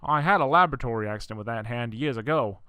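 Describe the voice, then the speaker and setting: A man's voice: mid-range voice, fast, pushed and bright, smooth, dry recording, natural voice. A man playing an older, absent-minded professor in a horror audio drama, mild and matter-of-fact in manner. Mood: apologetic.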